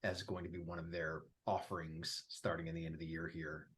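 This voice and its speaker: A man in a casual group interview, talking about his work in a relaxed, emphatic way and laughing easily. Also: deep voice, a little fast, slight background noise. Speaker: featured guest, app founder.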